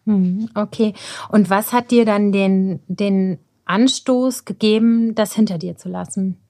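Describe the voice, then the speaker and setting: A woman's voice: medium pitch, steady tone, unhurried, warm tone. A woman in her forties hosting an interview, asking reflective, thoughtful questions. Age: 40s. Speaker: podcast host, interviewer. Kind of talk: conversational interview.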